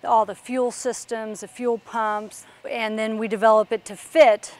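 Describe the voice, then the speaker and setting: A woman's voice: fairly high pitch, flat delivery, measured pace. A woman guiding a walking tour of an aircraft workshop, explaining parts as she points them out. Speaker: factory tour guide. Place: large aircraft workshop indoors.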